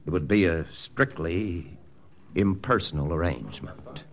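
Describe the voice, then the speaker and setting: An actor playing a confident American army intelligence lieutenant in a 1940s radio drama, brisk, persuasive and matter-of-fact. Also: male voice, sits low, lively intonation, gravelly, a little hiss or hum, heavily acted. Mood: persuasive, firm. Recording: old radio broadcast with music.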